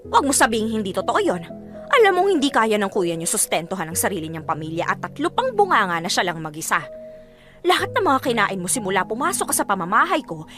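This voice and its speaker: An actress playing a fed-up, resentful married sister-in-law with a Filipino accent, scolding and sarcastic in a radio drama. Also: female voice, medium pitch, big pitch swings, fast, a bit bright, faint music behind. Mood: angry, resentful. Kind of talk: Tagalog radio drama acting.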